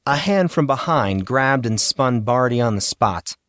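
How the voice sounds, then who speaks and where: A man's voice: medium pitch, expressive, a little slow, a bit bright, no room sound. A man reading scripted fiction narration as the narrator of an audio story.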